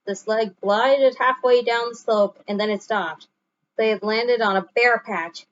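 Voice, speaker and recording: A woman's voice: a little high, a little slow. Woman reading a storybook, dull recording. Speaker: storybook reader. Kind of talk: children's read-aloud narration.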